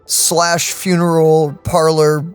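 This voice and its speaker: A woman's voice: low voice, level tone, slow, clear, resonant tone, soft background music. A woman doing casual, slangy let's-play commentary, often mock-appalled. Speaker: gaming channel co-host.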